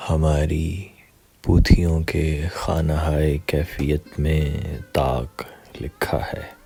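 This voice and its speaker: A man reciting poetry in a measured, deliberate, dramatic way, pausing between short phrases. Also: a bass voice, flat delivery, gravelly, warm tone, soft background music.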